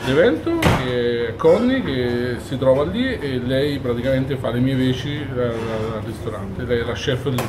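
Brief: man in his 40s from Umbria, chef, earnest and detailed, medium pitch, kitchen clatter behind. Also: expressive, measured pace, hardly any pauses, noisy background. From Umbria, Italy. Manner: earnest, detailed, with frequent fillers and restarts. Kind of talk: on-camera interview. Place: busy restaurant kitchen during service.

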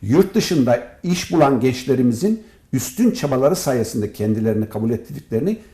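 A man speaking as a professor and interview guest, giving formal, emphatic and deliberate answers. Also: medium pitch, animated, warm tone. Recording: roomy echo.

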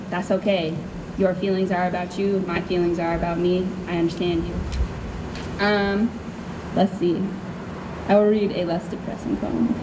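A young woman from Chicago with an American accent, a poet at a live spoken word reading, candid and informal as she projects into a stand microphone. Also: medium pitch. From Chicago, USA. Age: young adult. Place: outdoors under a canopy tent. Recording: clear background noise, outdoor event ambience.

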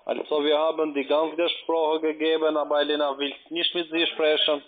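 A man's fairly high voice comes through a narrow, tinny phone line. He has a foreign accent and speaks in halting, broken phrases, like a call centre agent working through a conversation. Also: level tone. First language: not German.

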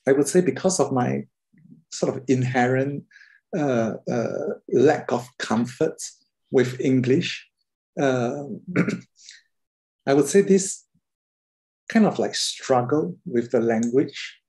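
A Singaporean man, an artist, talking thoughtfully with many pauses and fillers on a video call. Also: mid-range voice, darkish tone. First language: Mandarin.

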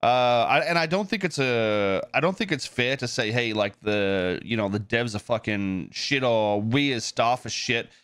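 A man's voice: medium pitch, measured pace, few pauses, a bit bright, clean tone, dry recording. A man talking casually and off the cuff on a stream, swearing freely and thinking aloud, like a game developer chatting to viewers.

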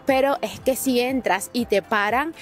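A young woman vlogging outdoors in a park, talking straight to her viewers in a lively, chatty way about rules for visitors. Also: on the high side, measured pace, faint music behind. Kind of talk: advice vlog to camera. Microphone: small handheld clip mic.

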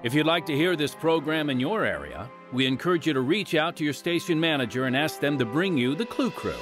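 Animated male radio announcer over music. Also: fairly high pitch, a little fast. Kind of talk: scripted narration.

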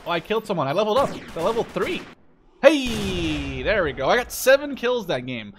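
A man's voice: high-pitched, big pitch swings, moderate pace, noisy background. A young man giving casual, informal let's play commentary on a game. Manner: informal, sweary. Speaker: gaming video creator.

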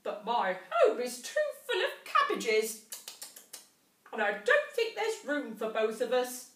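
A woman's voice: fairly high pitch, wildly swooping pitch, slow, a bit bright, pitched above the performer's own voice, exaggerated voice. A woman voicing a fluttery, apologetic storybook rabbit with a twittering voice. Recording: roomy classroom, distant mic.

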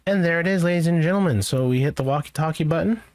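A man presenting a software tutorial in a casual, informal way, thinking aloud as he goes. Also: on the high side, fast, no room sound. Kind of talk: casual screen-share software walkthrough.

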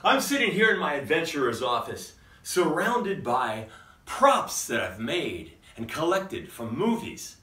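A man's voice: a little high, big pitch swings, measured pace, pushed and bright, echoey room, recorded from a distance. Campy middle-aged man hosting a vlog. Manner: campy, theatrical, kids'-show-host tone, chuckles often. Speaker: costume maker and vlog host. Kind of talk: campy playful vlog addressed to viewers. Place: home office full of props.

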